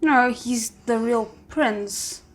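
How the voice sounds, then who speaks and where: A woman giving casual reaction commentary as a host, thinking aloud with expressive exclamations. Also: a little high, very slow. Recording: noticeable background noise.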